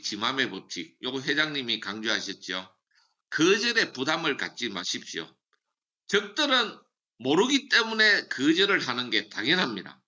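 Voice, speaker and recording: Guy giving a pumped-up sales seminar, super forceful tense voice, pitch jumps all over, kinda muffled lo-fi audio. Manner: emphatic, drills slogans and repeats key phrases. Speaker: seminar speaker for a sales business. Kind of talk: motivational sales training lecture.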